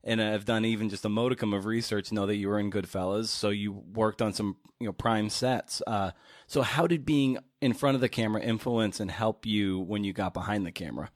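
A man with a lowish voice speaks in a dry, echo-free recording. He is a podcast host who builds long, conversational questions. His phrasing is wordy and searching, elaborating as he goes. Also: brisk.

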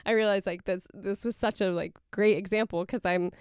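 A woman's voice: medium pitch, steady tone, fairly quick, a little mellow, clean tone, no echo, clean studio sound. A woman co-hosting a podcast, talking reflectively and giggling, with lots of filler "like"s. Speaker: podcast co-host. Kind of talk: casual chat.

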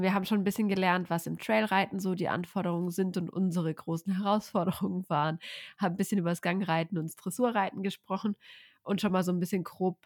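A woman's voice: fairly low pitch, dry recording, studio quality. A woman co-hosting a casual podcast chat, sounding nasal from a cold, chatty with frequent filler sounds. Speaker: podcast co-host.

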